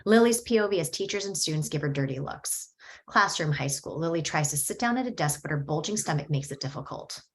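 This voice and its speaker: A woman reading screenplay stage directions at a table read in a steady, descriptive way. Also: deep voice, brisk.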